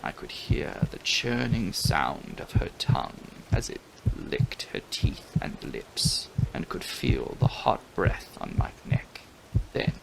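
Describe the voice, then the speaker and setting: Slow, theatrical male gothic narrator. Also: medium pitch, rough recording. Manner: theatrical, intense, often breathy. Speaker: audiobook narrator. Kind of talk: dramatic reading of gothic fiction. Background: music.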